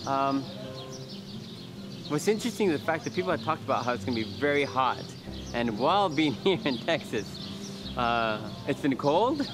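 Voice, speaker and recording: A man, an actor, giving a casual on-camera interview in a halting, rambling way, as if thinking aloud. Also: a little high. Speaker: actor in a behind-the-scenes interview.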